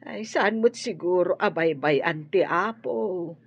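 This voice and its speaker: An actress playing a calm elderly wife and mother with a husky voice in a radio drama. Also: on the low side, unhurried. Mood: placid. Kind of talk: Ilocano radio drama acting. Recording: dull radio recording.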